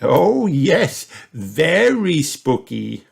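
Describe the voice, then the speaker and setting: Man playing a jolly, slow-talking old grandpa pig. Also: on the high side, sing-song, vocal fry, breathy edge, pitched above the performer's own voice, full character voice.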